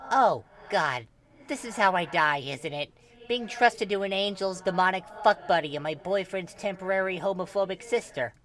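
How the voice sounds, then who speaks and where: A man voicing an excitable, easily exasperated teenage ghost boy in a story reading. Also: sits high, moderate pace, voice pushed up. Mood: dismayed.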